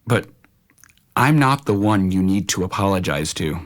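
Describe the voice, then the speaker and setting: A man playing a stern, protective middle-aged uncle in an audio drama, speaking firmly in a measured, admonishing way. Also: low voice, animated. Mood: stern, reproachful.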